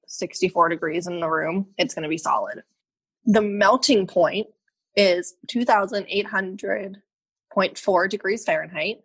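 A woman's voice: medium pitch, slightly rough, rough recording. A woman in her twenties co-hosting a casual podcast, laughing and swearing as she reads facts aloud. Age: 20s. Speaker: podcast co-host.

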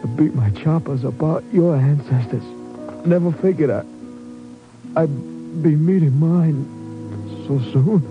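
An actor playing a dying, wry American soldier in a 1950s radio drama, halting and weak, with slangy tough talk. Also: male voice, a little high, close to an everyday voice. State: weak, fading, mortally wounded. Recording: muffled old radio recording with music.

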